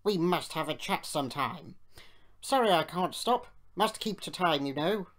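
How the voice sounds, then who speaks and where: A woman's voice: lowish voice, lively intonation, moderate pace, voice pushed up, natural voice. Woman voicing a pompous, sulky male storybook engine. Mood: haughty. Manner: grumbling, snobbish, snorting.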